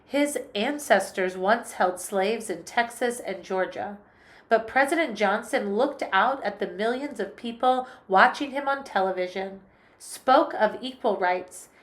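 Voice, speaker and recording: A woman music teacher reading a picture book aloud in a slow, clear storytelling manner. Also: mid-range voice.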